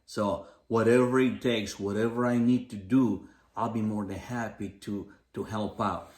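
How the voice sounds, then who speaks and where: A man's voice: fairly low pitch, fairly even intonation, a little slow, a little mellow. Upbeat motivational man in an echoey room. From Los Angeles area, United States. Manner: upbeat, motivational, speaks in repeated lists. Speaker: podcast co-host. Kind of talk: casual community podcast chat.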